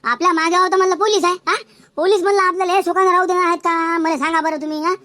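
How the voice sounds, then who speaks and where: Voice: extremely high pitch, level tone, very fast, pushed and bright, dry recording. A performer voicing a fretful, distrustful cartoon villager who keeps questioning, with a rural Varhadi Marathi accent. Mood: worried. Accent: rural Maharashtra, Varhadi Marathi. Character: anxious, cautious villager in a comic cartoon. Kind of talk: comic cartoon dialogue.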